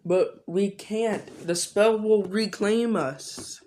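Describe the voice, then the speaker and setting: Slow-paced voice in scripted dialogue. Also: mid-range voice, a little mellow, a little hiss or hum.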